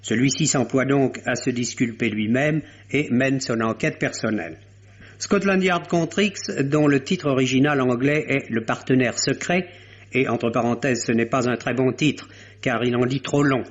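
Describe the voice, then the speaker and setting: A man giving a scripted film review on the radio in a measured, articulate and opinionated way. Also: mid-range voice. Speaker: film critic. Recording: dull, lo-fi vintage radio recording.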